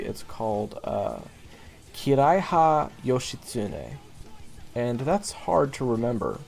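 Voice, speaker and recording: A gravelly, somewhat dark-voiced monster hunter acted by a man talks straight to his rookie in an audio roleplay. He is blunt but easygoing, halting often and leaving long pauses, with faint music behind him. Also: on the low side. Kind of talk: audio roleplay acting addressed to the listener.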